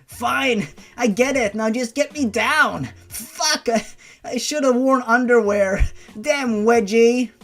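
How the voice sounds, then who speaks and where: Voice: mid-range voice, slow, fairly bright tone, soft background music, pitched above the performer's own voice. A narrator voicing a whiny, foul-mouthed young man who complains in exasperation in a story. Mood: exasperated whining.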